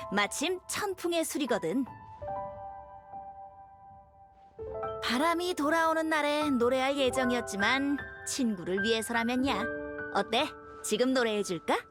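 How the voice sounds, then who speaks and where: A playful young male bard from an anime game. The voice is high, boyish and breezy, with a lyrical turn, set over clear background music. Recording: music behind the voice.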